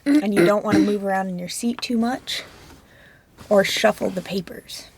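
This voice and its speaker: A woman's voice: medium pitch, moderate pace, darkish tone, husky, rough recording. A woman author reading her own story aloud with giggly, self-mocking asides, and coaching a guest through recording. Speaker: author directing a recording session. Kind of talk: reading and recording-booth chat.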